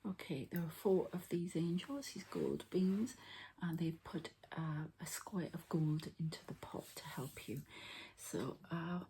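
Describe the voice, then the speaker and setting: Low, whispering woman in soft ASMR. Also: a little slow, low-quality recording. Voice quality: soft, breathy whisper with little voicing. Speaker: energy healer presenting her own channel. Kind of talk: whispered ASMR healing narration. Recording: faint background noise.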